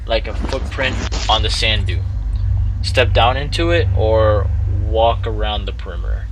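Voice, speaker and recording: A man's voice: lowish voice, a little slow. Man stumbling through a read-aloud, noisy background. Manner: stumbling, repeats and restarts phrases while reading. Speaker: gaming video host. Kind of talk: halting read-aloud of an interactive story with asides.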